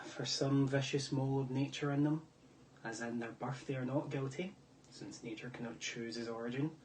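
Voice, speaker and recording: A young man performs classical stage monologues, heard from some distance with a faint hiss of room noise. His delivery is theatrical and declamatory, rising to ringing outbursts and dropping to hushed whispers. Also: mid-range voice. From Scotland. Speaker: drama student performing monologues.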